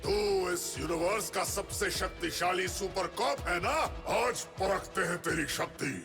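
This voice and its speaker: A man playing a boastful, menacing multiverse warrior villain in a cartoon, with a gravelly voice and taunting, theatrical delivery. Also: high-pitched, over music. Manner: taunting, theatrical, drawn-out delivery with evil laughing.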